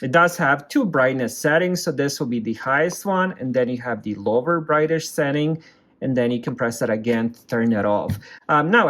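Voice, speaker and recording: A man's voice: mid-range voice, a bit bright. Man casually walking through a product. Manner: plain explanatory, step by step. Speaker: product reviewer. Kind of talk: casual product review, hands-on walkthrough.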